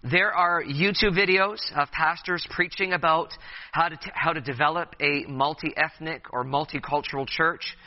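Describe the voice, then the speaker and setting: A man's voice: a little high, steady tone, moderate pace, muffled recording. A man in his thirties speaking to a room of youth leaders at a session, hesitant with many fillers and restarts, and playful with the audience. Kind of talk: conference talk to youth leaders.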